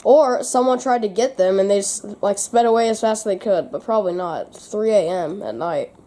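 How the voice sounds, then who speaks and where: A woman with a soft-edged, mellow tone and medium pitch. She co-hosts a vlog, chipping in with casual, conversational comments. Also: brisk. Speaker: vlog co-host. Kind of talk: casual chat.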